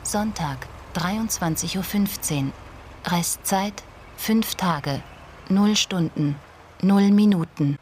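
A woman's voice: on the low side, slow pace. An actress playing the detached female voice of an auction website, reading clipped data formally in a radio drama. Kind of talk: scripted announcing in a radio crime drama.

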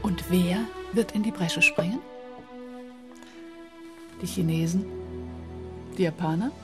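A woman's voice: lowish voice, slow. Emphatic female informant over music. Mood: urgent, emphatic. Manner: emphatic, rhetorical, building her case. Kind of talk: German radio crime drama acting.

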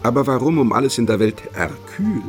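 An actor playing a cultured doctor in a radio drama, teasing an old friend with inquisitive, playful, educated phrasing. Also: male voice, medium pitch, expressive, a little fast, soft background music, close to an everyday voice. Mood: teasing curiosity.

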